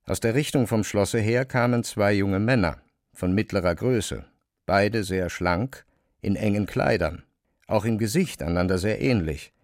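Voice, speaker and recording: Low-pitched male narrator, dry sound. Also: measured pace, a little mellow, no room sound. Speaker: narrator of a literary audio drama. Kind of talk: scripted literary narration.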